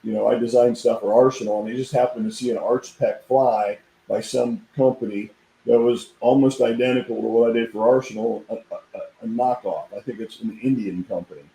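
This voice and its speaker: Hoarse, dark-voiced middle-aged man, guest on a podcast, rambling storyteller in an echoey room. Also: mid-range voice, steady tone, a little fast. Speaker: podcast guest, equipment designer. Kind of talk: casual chat.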